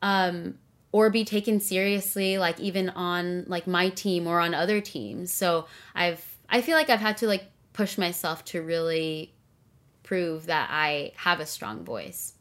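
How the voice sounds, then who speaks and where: A woman's voice: on the low side, a little slow, a bit bright. A young woman talking casually and earnestly as a podcast guest, with many fillers. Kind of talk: casual interview conversation.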